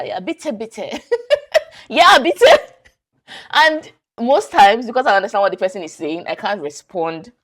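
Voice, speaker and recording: A woman vlogs from home, chatting freely to the camera. Her voice sits low for a woman, and she laughs often as she tells her story. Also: a bit bright. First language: English. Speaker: vlogger. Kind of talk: casual vlog storytelling.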